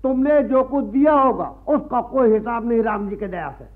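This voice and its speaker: An actor playing a greedy, wily priest and moneylender in a radio play, sanctimonious and wheedling. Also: male voice, very high voice, animated, normal speed. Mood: smug, demanding. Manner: sanctimonious, wheedling, repeats a pious catchphrase. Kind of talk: Hindi radio play acting. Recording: muffled archival radio play recording.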